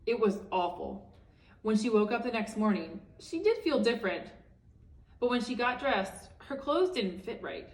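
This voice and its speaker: A woman reading a children's picture book aloud as a teacher, expressively, for young listeners. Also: a little high. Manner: expressive, pausing to ask listeners questions. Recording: echoey room, distant microphone.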